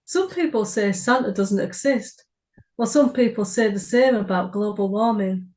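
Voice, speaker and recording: Female writer and co-host, medium-pitched, informal short story reading. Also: normal speed, a little mellow.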